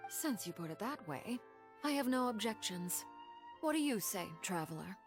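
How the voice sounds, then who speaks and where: An actress playing a composed, calculating woman leader in a video game, formal, measured and coolly courteous. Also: medium pitch, big pitch swings, soft background music, lightly acted. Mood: agreeable, calm.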